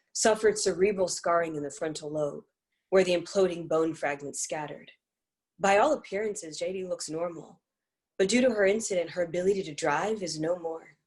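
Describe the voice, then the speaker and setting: A woman reading scripted dramatic narration and stage directions in a measured, deliberate way. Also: fairly low pitch.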